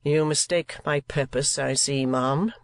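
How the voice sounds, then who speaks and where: A woman voicing a blunt, stern gentleman in a storybook reading, speaking in a clipped, deliberate way. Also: extremely low pitch, steady tone, no echo.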